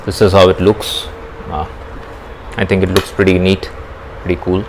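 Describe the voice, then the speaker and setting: Low-voiced Indian man with background noise. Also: flat delivery, moderate pace. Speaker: vlog host. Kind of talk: casual product demonstration to viewers. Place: small indoor room at home. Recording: clearly noisy room ambience.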